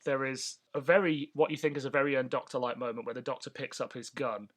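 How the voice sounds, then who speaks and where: A man's voice: medium pitch, steady tone, quick pace, fairly bright tone. A man chatting casually as a podcast co-host, with a wry, opinionated way of talking. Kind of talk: casual chat.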